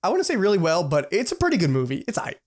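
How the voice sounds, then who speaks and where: A young man co-hosting a podcast in casual, excitable, sweary fan talk. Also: sits high, racing. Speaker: podcast co-host. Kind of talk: casual chat.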